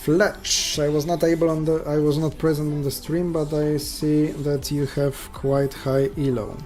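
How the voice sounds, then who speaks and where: A man's voice: a little high, level tone, normal speed, a little mellow, faint music behind. Polish-accented man commenting his live games. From Poland. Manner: thinks aloud, chatty asides to viewers. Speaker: checkers streamer.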